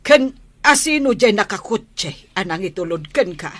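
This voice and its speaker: A woman's voice: medium pitch, expressive, a little slow, fairly bright tone, no room sound. A middle-aged woman playing a suspicious mother who nags and scolds her daughter in an audio comedy drama. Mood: scolding. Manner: nagging, scolding questions.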